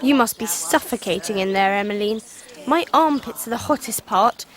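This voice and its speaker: High-voiced English Victorian girl played by a woman. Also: moderate pace, lightly acted. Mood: curious, musing. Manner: earnest, outspoken, well-spoken. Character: spirited, rebellious Victorian girl, a daughter of the house. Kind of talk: 1990s British radio drama acting.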